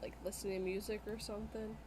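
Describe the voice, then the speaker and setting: A woman's voice: medium pitch, little pitch movement, fast, background noise. A woman guesting on a casual podcast chat, laughing often as she talks. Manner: laughs often while talking.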